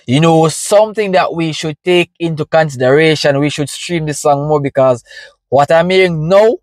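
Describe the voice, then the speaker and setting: A man's voice: fairly high pitch, no room sound, low-quality recording. A young Jamaican man reacts to music in a casual, slangy way. He is full of enthusiasm but takes his time over his words. The sound is very dry, with no room around the voice. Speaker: music reaction video host. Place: inside a parked car.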